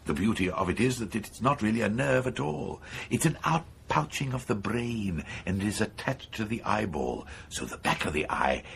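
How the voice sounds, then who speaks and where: An actor playing an eager, persuasive neurosurgeon with a macabre obsession in a radio horror drama, speaking insistently and clinically with a British accent. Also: male voice, fairly low pitch, sing-song, normal speed, creaky, fairly bright tone, exaggerated voice. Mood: brisk, coaxing. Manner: insistent, enthusiastic, coolly clinical lecturing. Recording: faint background noise.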